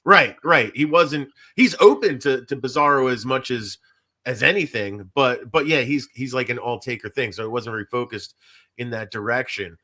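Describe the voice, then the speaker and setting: Man, very bright forward voice, mid pitch, podcast host, casual chat, rambling, trailing-off sentences. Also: normal speed.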